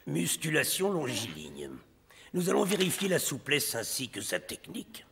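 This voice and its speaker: An exacting cartoon ballet examiner, formal and critical. His creaky voice swoops about in a reverberant hall. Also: on the high side, wildly swooping pitch, moderate pace, a bit bright, slight background noise, full character voice. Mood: formal, appraising. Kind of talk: French animated series dialogue. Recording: echoing hall, faint music behind.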